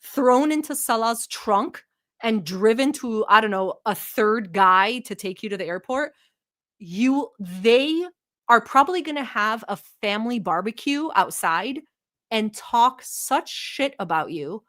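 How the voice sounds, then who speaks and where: A woman's voice: fairly high pitch, expressive, measured pace, a bit bright. A woman from Turkey hosting a live stream, giving blunt, mocking and profane commentary while talking to her chat. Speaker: livestream host reacting to a video.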